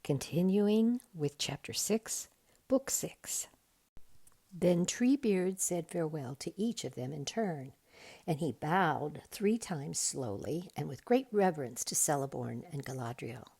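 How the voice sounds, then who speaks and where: Low, slow, creaky woman storyteller. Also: expressive, mellow. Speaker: volunteer storybook reader. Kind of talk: read-aloud bedtime story narration.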